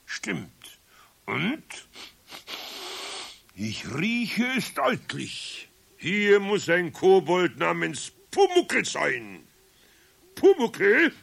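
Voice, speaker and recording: Grumbly old bavarian craftsman, slow stern talk, creaky, swoops up and down a lot, kids radio play. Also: high-pitched, wildly swooping pitch, fairly bright tone, exaggerated voice. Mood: mock-stern, threatening. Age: older. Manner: theatrical, slow and emphatic. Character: grumbling old master carpenter, stern but kindly. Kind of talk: German children's radio play acting.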